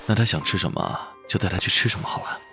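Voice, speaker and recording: A man playing a devoted, gentle boyfriend in a drama, speaking softly and politely. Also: deep voice, lively intonation, fast. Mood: tender, wistful. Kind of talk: naturalistic Chinese audio or screen drama dialogue. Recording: music behind the voice.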